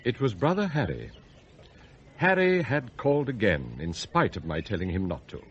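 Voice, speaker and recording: A coldly bitter butler, wildly varying pitch. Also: male voice, huge pitch swings, husky, lo-fi sound, full character voice. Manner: formal, precise, measured wording. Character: outwardly loyal butler, coldly bitter and plotting. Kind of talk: radio drama acting with confiding narration.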